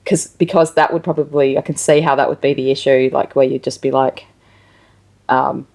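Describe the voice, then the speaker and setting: A woman's voice: rumbling low, fairly even intonation, normal speed, creaky, darkish tone. A woman hosting a casual interview, phrasing her thoughts in a tentative, rambling way. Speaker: interview show host, musician. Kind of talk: casual chat.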